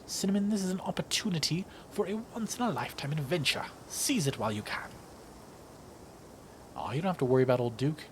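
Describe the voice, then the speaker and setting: A man's voice: on the high side, lively intonation, a little fast, a little hiss or hum, lightly acted. A man voicing an eager, headstrong young woman who hunts monsters for her video channel, pleading and upbeat, in a game. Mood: persuasive. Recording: music playing behind the voice.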